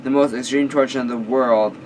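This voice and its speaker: A hoarse man voicing a guilty teenage boy talking to himself in a story reading. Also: mid-range voice, steady tone, a little fast, a little mellow, distant mic, a little hiss or hum, lightly acted, rough recording. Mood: panicked.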